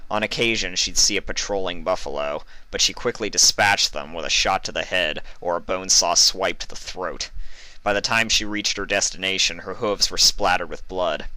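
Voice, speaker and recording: A man narrates a story in a low, forceful voice. The recording is dry, and he reads it as dramatic storybook narration. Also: steady tone, normal speed, dry recording, poor audio. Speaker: fan fiction reader.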